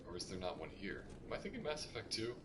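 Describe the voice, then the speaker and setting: A man's voice: lowish voice, huge pitch swings, quick pace, background noise. A man casually commentating live gameplay as a streamer, playful and thinking aloud. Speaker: game streamer. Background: video game music.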